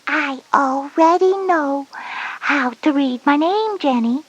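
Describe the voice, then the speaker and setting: A woman playing a curious, slightly stubborn young kindergarten girl with a breathy, childlike voice in an educational audio story. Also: high voice, takes it slowly, muffled recording.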